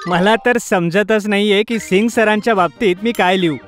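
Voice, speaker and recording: A woman's voice: mid-range voice, moderate pace, lightly acted. Timid young schoolboy, put on by a woman, eager, confiding, children's cartoon story, music behind.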